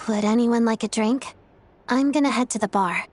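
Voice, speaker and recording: A woman's voice: a little high, moderate pace, a little hiss or hum, natural voice. A woman playing a poised, kindly young woman in a video game, speaking in a composed, polite way.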